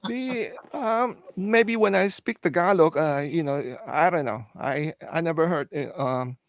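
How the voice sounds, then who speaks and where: A man's voice: on the high side, a little slow, no room sound. A man from the Philippines hosting a casual live-stream chat, who talks hesitantly with many fillers and restarts. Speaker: host.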